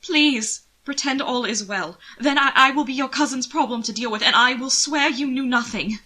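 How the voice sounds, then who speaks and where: A woman's voice: sits high, normal speed, pitched above the performer's own voice. A woman plays a frightened young man in an audiobook. He is timid and submissive, speaking with hesitation as if begging for mercy. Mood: desperate pleading. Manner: hesitant, quiet, pleading. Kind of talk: audiobook character voice.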